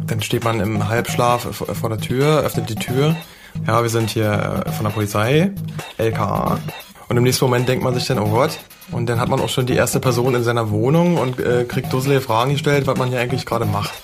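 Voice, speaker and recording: Male interviewee, casual anecdote, wry and colloquial, medium pitch, loud music behind. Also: fairly quick. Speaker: interviewee telling a first-hand experience.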